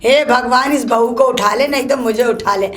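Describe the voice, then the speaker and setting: A woman playing a shrill, cursing old mother-in-law who keeps scolding her daughter-in-law. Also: normal speed. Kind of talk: comic one-woman sketch.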